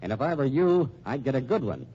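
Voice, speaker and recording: An actor playing a sardonic, hard-boiled American police lieutenant, dry and folksy with menace, in 1940s radio style. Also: male voice, medium pitch, sing-song, brisk, lightly acted. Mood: dry, stubborn. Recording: muffled old radio recording, faint noise.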